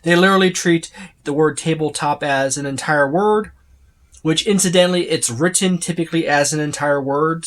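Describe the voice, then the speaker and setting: Video host, casual unscripted overview, medium pitch, rambling explanations, informal asides. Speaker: host of tabletop gaming video channel.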